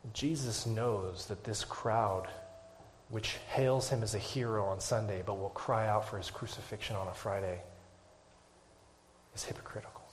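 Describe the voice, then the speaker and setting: A male pastor preaching a sermon to his church, swinging from hushed, whispered lines to loud, impassioned climaxes and closing in prayer. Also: fairly low pitch, steady tone, normal speed, slight background noise.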